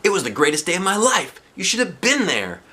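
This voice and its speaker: A reader voicing a proud male scientist who was born enslaved, telling his story slowly, in a children's book read aloud. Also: mid-range voice, sing-song, pushed and bright, pitched above the performer's own voice. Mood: delighted.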